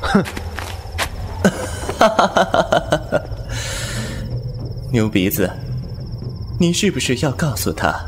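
A man voicing a proud, sly male fox spirit in a fan audio drama, mocking with soft scornful laughs. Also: medium pitch, big pitch swings, very slow, pauses often, background noise. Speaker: narrator and lead. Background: loud background music.

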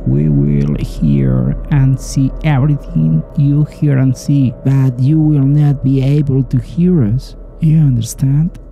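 A man's voice: mid-range voice, creaky. A man playing a stern mission commander who gives firm, instructive briefings. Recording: dull, muffled audio with faint music.

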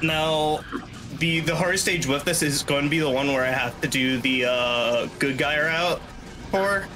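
A man's voice: a little high, unhurried. A young man giving casual, chatty speedrun commentary, explaining as he plays and swearing casually. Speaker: speedrunner playing live at a charity marathon. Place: small indoor room at home. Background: video game music.

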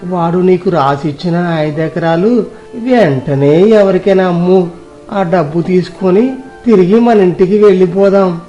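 A man acts out a grasping parent in a tale, with a mellow voice. The character schemes and gives orders, with music faintly behind. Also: sits high, highs cut off. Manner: scheming, commanding. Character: grasping parent plotting with an eldest son.

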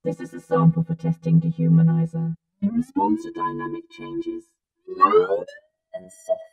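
A woman reading a scripted test sample in short deliberate statements, processed through a robotic vocoder or ring modulator effect. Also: high voice, lively intonation, phone-quality sound, faint music behind.

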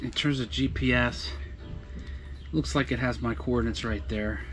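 A man's voice: mid-range voice, steady tone, moderate pace, fairly bright tone, faint music behind. Middle-aged man tentatively thinking aloud. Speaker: do-it-yourself boat vlogger. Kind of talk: casual hands-on project explanation. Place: open-sided boat cockpit at a marina.

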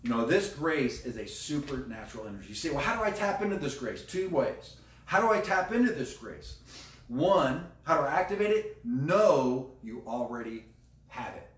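A man's voice: medium pitch, sing-song, moderate pace. A middle-aged American man preaching a conversational sermon as a pastor, emphatic and repeating key phrases. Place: indoor room beside a screen. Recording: roomy echo, distant microphone.

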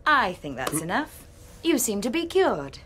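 Lilting, clinical doctor. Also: female voice, mid-range voice, big pitch swings, a bit bright, slightly rough, heavily acted. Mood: testing, controlled. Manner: precise, measured, clinical. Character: brisk, clinical female research doctor, coolly authoritative. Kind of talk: radio drama acting.

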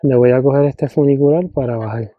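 A man's voice: mid-range voice, fairly quick, no room sound. A man narrating a travel vlog in a casual, chatty way, speaking into a handheld camera as he walks. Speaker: travel vlogger. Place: mostly outdoors on mountain hiking trails. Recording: dull, noisy outdoor handheld recording.

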